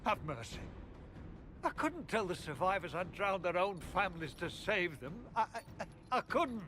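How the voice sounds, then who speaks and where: An actor playing a guilt-ridden male village mayor in a video game, pleading and distressed, with halting speech. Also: high-pitched, sing-song, pushed and bright, faint music behind, full character voice.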